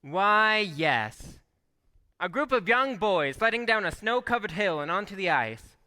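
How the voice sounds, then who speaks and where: A man's voice: sits high, expressive, measured pace, bright, forceful tone, full character voice. A man playing an eager, polite and innocent apprentice angel in a radio play, with a fussy, earnest manner. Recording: echoey live hall taping.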